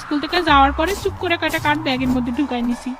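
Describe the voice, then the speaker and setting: Actor as confiding village schoolchild, very high-pitched, cheeky, cartoon drama, music behind. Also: very fast, close to an everyday voice. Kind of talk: animated story dialogue.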